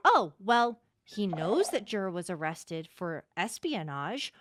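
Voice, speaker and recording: A woman's voice: mid-range voice, very animated, background noise. A woman playing an outspoken, suspicious young court confidant in game roleplay, talking informally and with heavy emphasis. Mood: emphatic, suspicious. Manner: emphatic, informal, drawn-out stresses. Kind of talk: improvised game roleplay dialogue.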